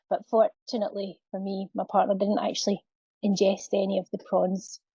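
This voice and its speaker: Female co-presenter, wry storytelling, flat and mellow delivery, dry muffled call sound. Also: medium pitch, normal speed, breathy edge, no echo. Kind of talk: anecdote in a webinar.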